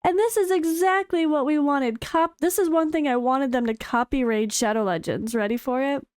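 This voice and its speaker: An American woman with a slightly hoarse voice, a gaming content creator chatting excitedly through developer notes. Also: high-pitched, moderate pace, no echo, clean studio sound. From United States. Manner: chatty and excitable, with frequent fillers. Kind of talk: casual commentary reading developer notes.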